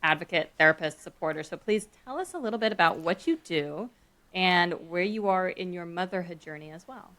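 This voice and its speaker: A woman's voice: lowish voice, animated, brisk, a bit bright. A woman hosting a podcast about working motherhood, introducing her guest in an upbeat, enthusiastic way. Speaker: podcast host, maternity leave coach. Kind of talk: casual podcast chat.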